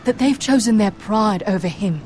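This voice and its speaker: An actress playing a compassionate young noblewoman in a fantasy game drama, speaking in a measured, careful, gentle way. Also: mid-range voice, animated, noisy background, close to an everyday voice. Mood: troubled. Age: young adult. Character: earnest, compassionate young noblewoman from a rival house. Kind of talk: dramatic video game acting. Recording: music behind the voice.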